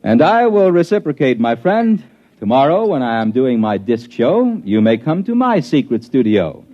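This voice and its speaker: An actor playing a hip, wisecracking jazz disc jockey who narrates a 1950s radio play in wry, wordy slang. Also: male voice, medium pitch, big pitch swings, moderate pace, warm tone, dry recording, natural voice. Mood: droll, chummy. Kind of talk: 1950s radio drama acting. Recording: dull vintage 1950s radio, music behind.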